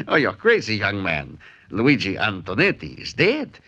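An actor playing a fussy, excitable art expert in 1940s radio drama style, hesitant and exclaiming. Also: male voice, fairly low pitch, takes it slowly, very noisy, heavily acted. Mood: startled, amused. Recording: muffled 1940s radio broadcast.

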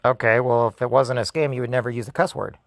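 A man's voice: mid-range voice, steady tone, fast, no echo. A man baiting a scam caller on a speakerphone call, in a taunting, mocking way. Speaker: scam baiter. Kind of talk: prank phone call. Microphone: phone on speaker.

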